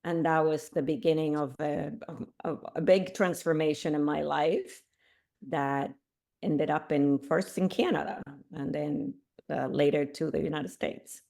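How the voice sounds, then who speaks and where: A middle-aged woman from Cuba, a podcast guest, telling her life story in an animated way, with restarts and laughs. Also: sits low, a little slow, creaky.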